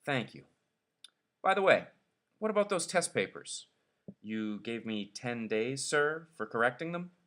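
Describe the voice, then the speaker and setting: A man's voice: mid-range voice, sing-song, measured pace, long pauses, fairly bright tone. A man voicing a timid, deferential teacher who speaks hesitantly and pleadingly in a storybook reading. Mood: uneasy. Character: timid, deferential male subordinate teacher, privately scathing.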